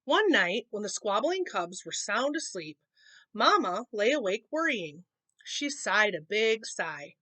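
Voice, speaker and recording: A woman reading a picture book aloud to children, expressive, with casual explanatory asides. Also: mid-range voice, unhurried. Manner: expressive, explaining to young listeners.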